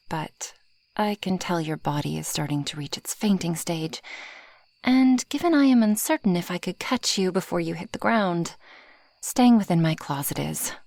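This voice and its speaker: Woman acting as a haughty dragoness, ASMR roleplay, low-pitched, breathy, possessive, formal wording, close dry sound. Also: a little mellow, no echo. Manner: haughty, teasing, formal wordy phrasing, often dropping into whispers. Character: possessive, proud, civilized female dragon predator. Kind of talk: ASMR roleplay addressed to the listener.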